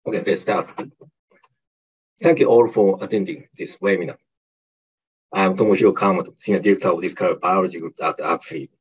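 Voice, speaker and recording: Low husky man on muffled audio. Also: fairly even intonation. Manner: read-out, measured delivery. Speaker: senior director presenter. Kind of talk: webinar presentation. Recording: dull muffled webinar audio.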